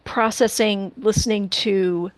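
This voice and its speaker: A woman hosting a casual interview, talking effusively with halting restarts. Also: medium pitch, fairly even intonation, no room sound, poor audio. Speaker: interview host.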